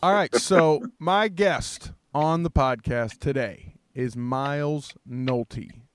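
A man's voice: medium pitch, slow, soft background music. A man hosting a podcast in a casual, conversational style, introducing the show and his guest. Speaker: podcast host.

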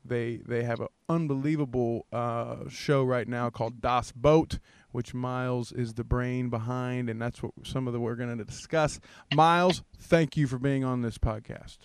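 A man's voice: medium pitch, steady tone, unhurried, hardly any pauses, smooth. A man hosting a podcast in a casual, conversational style, introducing the show and his guest. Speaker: podcast host.

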